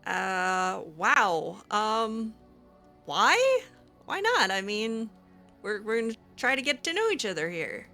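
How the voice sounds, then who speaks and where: Hesitant young woman roleplaying, lively. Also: mid-range voice, slow pace, faint music behind. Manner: hesitant, filler-heavy, giggly. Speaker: host roleplaying her game character. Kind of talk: roleplaying character dialogue aloud.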